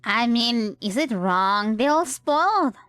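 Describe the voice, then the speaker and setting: A woman's voice: fairly high pitch, lively intonation, slow, fairly bright tone, clean tone. A woman livestreaming a game, reacting casually and playfully, often echoing what she hears. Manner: playful, repeats and echoes game lines.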